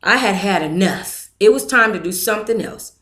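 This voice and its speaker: Bright-voiced woman reading a memoir aloud. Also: medium pitch, animated, normal speed. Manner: reads in first person, breaks off to thank donors and comment. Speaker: book club host reading aloud.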